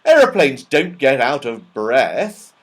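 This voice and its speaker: A man's voice: medium pitch, very animated, slow pace, heavily acted. A man voicing a plucky, polite young boy in a children's storybook, exclaiming and questioning. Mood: puzzled, dismissive.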